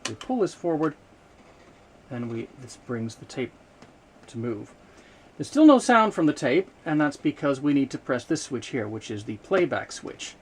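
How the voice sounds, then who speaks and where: A man giving an unscripted hands-on demonstration of vintage equipment, explaining in a measured way with hesitant pauses mid-sentence. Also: mid-range voice, very animated, slightly rough. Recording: noticeable background noise, room ambience.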